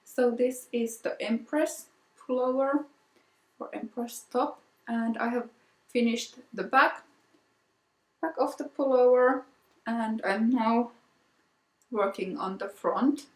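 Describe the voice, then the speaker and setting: A woman with a Finnish accent, a native Finnish speaker, hosting a casual knitting vlog, soft-spoken with frequent ums and repeated words. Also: a little high, takes it slowly, pauses often, echoey room, poor audio. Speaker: knitting podcast host. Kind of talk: casual show-and-tell vlog.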